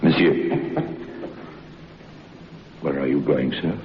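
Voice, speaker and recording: An actor playing an earnest, nervous French head waiter who speaks broken English with a French accent in an old-time radio drama. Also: male voice, very deep voice, steady tone, slow pace, a little hiss or hum. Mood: insistent. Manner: broken English, urgent pleading. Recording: tinny phone-like old radio recording.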